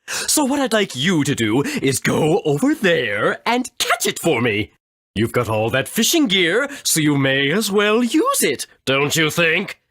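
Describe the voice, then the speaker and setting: Super vain campy fish monster for a game, drawn out and swoopy, really dry recording. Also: male voice, on the high side, fairly bright tone, dry recording, full character voice. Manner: theatrical, preening, coquettish. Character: vain, flamboyant, effeminate male fish monster. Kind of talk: video game character acting.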